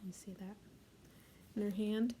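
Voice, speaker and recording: A woman reading a picture book aloud for a library storytime, adding gentle asides about the pictures. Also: mid-range voice, fairly even intonation, measured pace, breathy edge.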